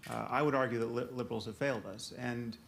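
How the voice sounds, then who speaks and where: A man's voice: mid-range voice, fairly even intonation, fast, creaky, noisy background. A man arguing in a televised panel debate, stumbling over restarts as he makes his point. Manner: stammering restarts when interrupted.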